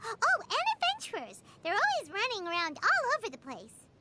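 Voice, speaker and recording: A woman voicing a small, childlike fairy companion in a video game, excitable and naive, with a squeaky voice. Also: moderate pace, heavy background noise, heavily acted. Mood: eager, excited. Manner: excitable, exclamatory, refers to herself by name. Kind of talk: video game character dialogue.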